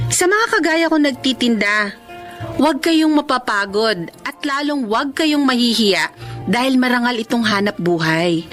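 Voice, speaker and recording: An actress playing a frank, hardworking young Filipina vendor in a radio drama, with an emphatic, expressive delivery. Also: female voice, fairly high pitch. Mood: earnest, encouraging. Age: young adult. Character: hardworking, frank young woman water vendor, bossy older sister.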